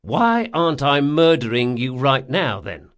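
Man playing a brash, sardonic, animated thief. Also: medium pitch, unhurried. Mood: angry, threatening. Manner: sardonic, forceful, exasperated. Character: brash, sardonic male thief, threatening, foul-mouthed.

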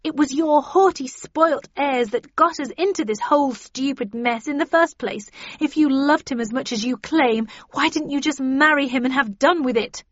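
A woman voicing a defiant, sharp-tongued young Victorian daughter, indignant and cutting, in an audiobook. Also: high-pitched, takes it slowly, dry recording, lo-fi sound, pitched above the performer's own voice. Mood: defiant, scornful.

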